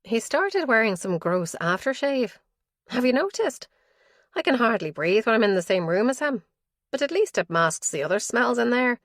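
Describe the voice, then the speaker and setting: A woman voicing a brisk, wry young female detective in an audiobook, lifting her voice and sounding lively. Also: a little high, no room sound, clean studio sound, voice pushed up.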